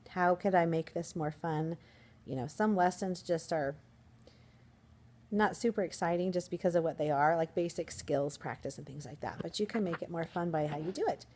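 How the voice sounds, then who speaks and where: A woman teacher vlogging in a casual, upbeat, chatty way, her voice slightly hoarse. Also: lowish voice, darkish tone. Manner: chatty, upbeat, rambling with fillers. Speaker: first grade teacher, vlogger. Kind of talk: casual vlog chat to camera.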